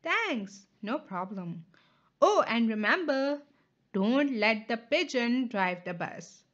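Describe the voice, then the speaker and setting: A woman's voice: on the high side, very animated, slow, fairly bright tone, lo-fi sound, exaggerated voice. A woman voicing a polite, trusting bus driver from a children's storybook in a friendly, plain, instructive manner. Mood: friendly, instructing.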